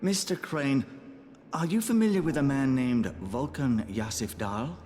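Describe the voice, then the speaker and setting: A man's voice: medium pitch, a little slow, a little mellow, slight background noise, natural voice. An actor voicing a polite, businesslike male emissary in a video game, speaking formally.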